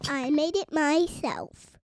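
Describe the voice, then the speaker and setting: A man voicing a small, relentlessly cheerful and helpful mouse in a children's storybook, with a thin squeaky voice and an eager, polite manner. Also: slow, slight background noise, heavily acted. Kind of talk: children's storybook read-aloud.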